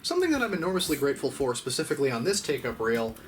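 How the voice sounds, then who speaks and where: A man's voice: medium pitch, huge pitch swings, rapid-fire, soft background music. A man narrating a casual, step-by-step tutorial in a conversational, mildly self-deprecating way. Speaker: host of an audio gear channel.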